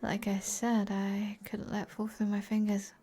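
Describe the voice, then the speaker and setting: British woman doing a flirty tavern sorceress, british accent, soft breathy asmr, really slow n teasing, kinda whispery. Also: mid-range voice, flat delivery, lightly acted. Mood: wry, coy. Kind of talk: ASMR roleplay, soft intimate acting.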